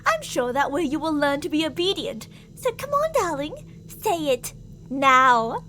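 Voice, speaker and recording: A woman's voice: high-pitched, big pitch swings, measured pace, bright, forceful tone, full character voice. A woman playing an obsessively jealous, murderous stalker lover in an ASMR roleplay, theatrical and possessive, swinging between coaxing and menace. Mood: impatient, threatening. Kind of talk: ASMR girlfriend roleplay acting. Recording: audible background noise.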